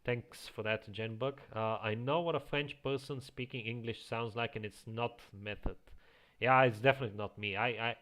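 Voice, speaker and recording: A man with a slight Eastern European accent, chatting casually as a streamer, reading chat aloud and chuckling often into a quiet home microphone. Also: medium pitch, fairly even intonation, moderate pace. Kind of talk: casual live-stream chat while playing.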